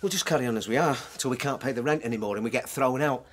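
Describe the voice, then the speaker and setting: An actor playing a glib, restless estranged husband in a radio drama, persuasive and sarcastic. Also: male voice, medium pitch, very fast, fairly bright tone, a little hiss or hum, natural voice. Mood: restless, boastful. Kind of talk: naturalistic radio drama acting.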